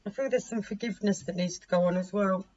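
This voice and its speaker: A hoarse woman in her forties giving a casual tarot reading to camera, chatty and laughing at herself as she repeats and restarts. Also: mid-range voice, quick pace, darkish tone. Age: 40s. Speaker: tarot reader. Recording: dull lo-fi recording with faint noise.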